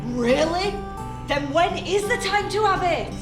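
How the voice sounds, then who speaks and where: A woman's voice: extremely high pitch, expressive, unhurried. A woman playing a bewildered, sceptical young office worker in an audio drama, blunt and questioning. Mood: astonished. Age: young adult. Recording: echoey with music underneath.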